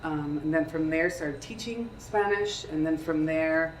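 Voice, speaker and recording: A woman's voice: low voice, a little slow. A woman in her thirties, born in Peru, speaking on a career panel to students in a conversational way and often asking them questions. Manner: conversational, asks the audience questions. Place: lecture room. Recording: echoey room, distant mic, faint hiss.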